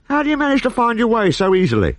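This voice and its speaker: Brief: man doing a chirpy, sly little hero, bright raised tone, bouncy delivery. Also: high voice, animated, no room sound, voice pushed up. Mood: cheerful. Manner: brisk, teasing, breezy. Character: small, cheerful, clever Gaulish warrior man.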